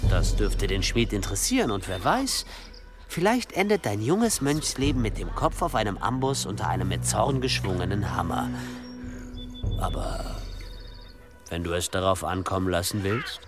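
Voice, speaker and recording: An actor playing a sly, calculating pilgrim who threatens with measured insinuation in a German radio drama. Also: male voice, fairly low pitch, lively intonation, music in the background, lightly acted. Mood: coolly menacing. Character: sly, menacing pilgrim blackmailer, coolly calculating.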